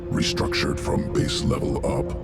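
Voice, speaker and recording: A man voicing a cold, clinical alien insectoid evolution master in a video game, with a guttural creature rasp and clipped, telegraphic, detached speech. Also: natural voice. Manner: clipped telegraphic sentences without articles, detached and deliberate. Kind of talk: video game character acting. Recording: music behind the voice.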